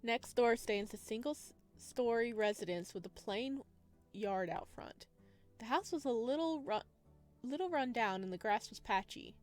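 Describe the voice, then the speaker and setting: A woman's voice: a little high, expressive, moderate pace, darkish tone. A woman hosting a gaming video, reading visual novel narration aloud and adding casual asides. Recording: music playing behind the voice.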